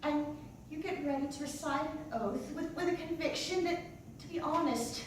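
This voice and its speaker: A woman playing a rambling, doubting, wry churchgoing mother in a halting comic stage monologue, full of digressions and self-interruptions. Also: high-pitched, natural voice. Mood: wry, unsure. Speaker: actor performing a monologue. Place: indoor staged reading in a reverberant room. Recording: echoey room, distant mic, faint noise.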